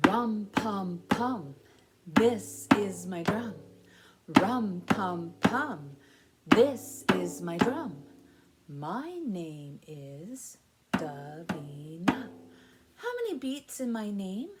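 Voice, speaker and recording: A woman's voice: mid-range voice, lively intonation, extremely slow, over loud music. A woman in her fifties leading a baby story time, speaking and chanting in an exaggerated sing-song way. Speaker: library story time presenter. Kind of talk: children's story time with rhymes and songs. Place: small indoor room with alphabet backdrop. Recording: faint background noise.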